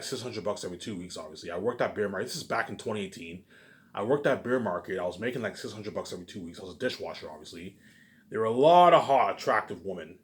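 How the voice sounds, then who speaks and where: Fast-talking man in a rambling monologue. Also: on the low side. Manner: repetitive, restarting sentences, constant verbal tags; emphatic openings trailing off into muttered asides. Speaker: vlogger.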